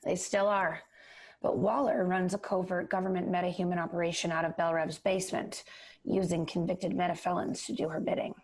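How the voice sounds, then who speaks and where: A woman playing a skeptical, well-informed young female hero in an audio drama, with a husky voice. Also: fairly low pitch, little pitch movement, brisk, a little mellow. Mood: skeptical, matter-of-fact. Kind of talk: amateur audio drama acting.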